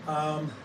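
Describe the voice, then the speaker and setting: A man with a hoarse voice from a cold, casually explaining his clinic's equipment to camera, with many fillers and an occasional cough. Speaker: wellness clinic owner. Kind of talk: casual educational talk to camera. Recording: distant mic with clear background noise.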